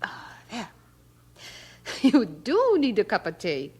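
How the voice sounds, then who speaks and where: A woman's voice: fairly high pitch, wildly swooping pitch, extremely slow, darkish tone, a little hiss or hum, heavily acted. An actress playing a practical, nagging London mother in a radio drama. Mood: brisk, motherly. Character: fussy, practical working-class London mother.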